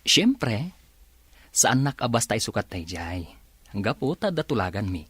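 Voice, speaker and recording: Casting: man to play devoted young son and fiance, Ilocano accent, lowish pitch, dry studio sound, radio drama. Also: big pitch swings, a little slow, fairly bright tone, husky, dry recording, heavily acted. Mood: friendly, steady.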